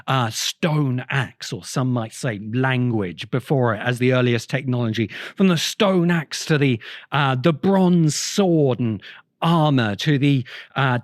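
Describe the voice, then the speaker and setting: A man delivering a reflective essay-style lecture as a host, speaking in an erudite, deliberate way with pauses between phrases. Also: medium pitch, no echo, studio quality. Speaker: host of a science fiction essay show.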